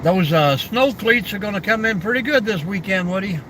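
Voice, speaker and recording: Older guy chatting live w/ viewers, high-ish voice, folksy and easygoing, traffic noise outside. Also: lively intonation, normal speed. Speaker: live stream host. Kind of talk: casual live stream chat, answering viewer comments.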